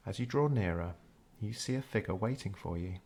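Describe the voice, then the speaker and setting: A man narrating a scripted guided meditation in a measured, unhurried storytelling way. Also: on the low side, darkish tone, husky.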